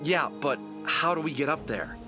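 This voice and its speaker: A man's voice: a little high, moderate pace, music in the background, close to an everyday voice. A man acting in an audio drama as a plucky, sarcastic adventurer who is wary, casual and easily flustered. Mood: incredulous, excited. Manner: wisecracking, excitable, casual.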